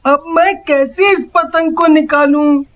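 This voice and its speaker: A woman's voice: high-pitched, unhurried. A woman voicing a small, loving five-year-old village boy in a cartoon story, earnest and childlike, on dull audio. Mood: wonder.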